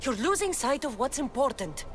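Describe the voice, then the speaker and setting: A woman's voice: high-pitched, big pitch swings, moderate pace. An actress playing a determined, principled female warrior hero in a video game cutscene, firm and earnest.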